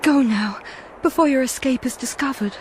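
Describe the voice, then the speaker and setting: An actress with a British accent playing an anxious, gentle young noble maiden in a gothic radio drama, with formal period diction. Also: female voice, sits high, moderate pace, a little hiss or hum, close to an everyday voice, low-quality recording. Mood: tender, urgent.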